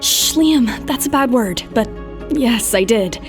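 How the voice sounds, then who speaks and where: A woman's voice: on the high side, animated, takes it slowly. An actress playing a feisty, protective young mother in an audio drama, firm and assertive, with music behind. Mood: polite, tender. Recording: loud music under the voice.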